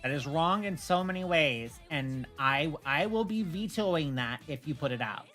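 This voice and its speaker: A woman's voice: sits low, expressive, normal speed, fairly bright tone. A woman streamer talking casually on a livestream, making her points in a firm, emphatic, argumentative way. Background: music.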